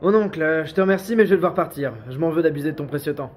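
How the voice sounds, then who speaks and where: A man's voice: on the high side, rapid-fire, close to an everyday voice. An actor playing a young male investigator and dutiful nephew in a French audio drama, terse, reserved and polite. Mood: polite, evasive.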